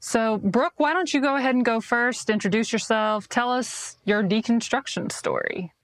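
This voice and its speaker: A woman's voice: fairly high pitch, moderate pace, dry recording. Upbeat woman hosting a podcast. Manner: upbeat, enthusiastic. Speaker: podcast host. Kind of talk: scripted intro, then casual chat.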